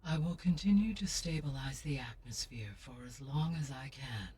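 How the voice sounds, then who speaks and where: A calm, formal AI. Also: male voice, medium pitch, breathy edge. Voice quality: measured, formal, even delivery. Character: calm artificial intelligence guiding the heroine. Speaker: game character. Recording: slightly distant, under game music.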